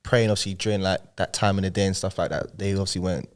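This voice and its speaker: A man's voice: sits low, flat delivery, fast talker, clear, resonant tone. A man hosting a casual interview, chatty and full of fillers like "like" and "obviously". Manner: chatty, filler-heavy, says "like" and "obviously" a lot. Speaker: podcast host.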